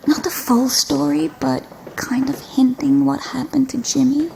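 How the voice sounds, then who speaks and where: A woman playing a wary, serious young woman in a naturalistic audio drama, speaking in a guarded, hushed way. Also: high-pitched, level tone, measured pace, creaky, slight background noise, heavily acted. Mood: anxious, hushed.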